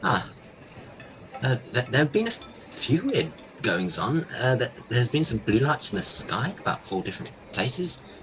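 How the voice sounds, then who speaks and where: A man's voice: mid-range voice, very animated, a little slow, a bit bright, a little hiss or hum, poor audio. An actor playing a chatty local man in an audio drama, informal and stumbling over his words. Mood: hesitant, helpful. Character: hesitant, chatty small-town local man.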